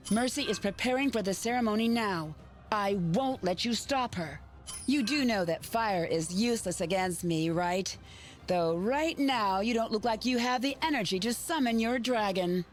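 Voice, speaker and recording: A woman's voice: a little high, animated, normal speed, lightly acted. An actress playing a defiant young woman who guards a secret ceremony, in a game cutscene with faint music behind. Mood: cold. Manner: cold and taunting. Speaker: game character.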